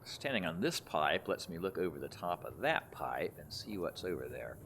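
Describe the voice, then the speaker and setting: A man's voice: deep voice, very animated, normal speed, fairly bright tone, a little hiss or hum. A man giving casual, rambling commentary as he plays a video game, thinking aloud. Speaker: gaming video host.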